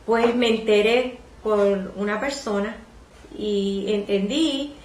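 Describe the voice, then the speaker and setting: Puerto rican lady in her 60s, calm and reflective, telling her story, echoey room. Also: medium pitch, takes it slowly. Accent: Puerto Rican Spanish. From Puerto Rico. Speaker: interviewee, retired librarian. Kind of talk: oral history interview.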